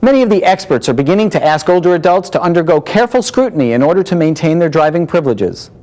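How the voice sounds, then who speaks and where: Higher-pitched man reading formal medical advice. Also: expressive, a little mellow. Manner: formal, measured enumeration. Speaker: doctor giving medical advice. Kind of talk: scripted advice segment.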